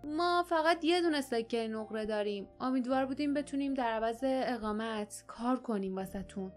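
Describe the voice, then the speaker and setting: A woman's voice: on the high side, soft background music, lightly acted. A woman voicing a grown male warrior in an audiobook, speaking in a grumbling, wary way. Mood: cautious.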